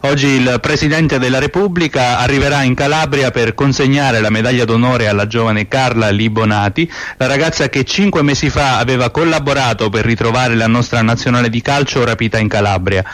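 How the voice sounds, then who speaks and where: An actor playing a formal radio news announcer, reading a scripted bulletin in a measured way. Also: male voice, mid-range voice, a bit bright, dry recording, close to an everyday voice.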